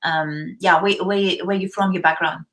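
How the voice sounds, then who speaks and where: A woman's voice: fairly low pitch, fairly even intonation, moderate pace, a bit bright. A woman hosting a casual live-stream interview, enthusiastic and rambling through her questions with many fillers. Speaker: host.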